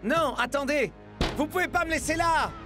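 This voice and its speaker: A man's voice: very high-pitched, normal speed. A man voicing an earnest, worried young footballer in a cartoon, with a questioning manner. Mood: indignant. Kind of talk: animated cartoon dubbing. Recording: music behind.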